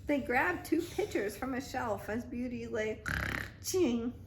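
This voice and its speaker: A woman reading a picture book aloud as a mother to a toddler, in an expressive, dramatic storytime style. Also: on the high side, slow pace, background noise. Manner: dramatic, child-directed.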